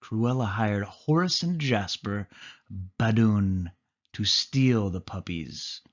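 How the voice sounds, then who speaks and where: A man reading a children's story aloud as storyteller, with expressive narration. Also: fairly low pitch, takes it slowly, muffled recording.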